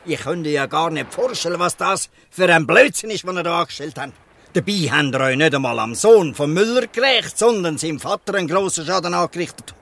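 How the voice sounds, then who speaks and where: An old fisherman with a Swiss German accent, in a bright, forceful voice pitched a little high. He is gruff and scolding but good-hearted, in the manner of a dialect radio play. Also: animated, fairly quick, no echo, heavily acted. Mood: exasperated, scolding. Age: older.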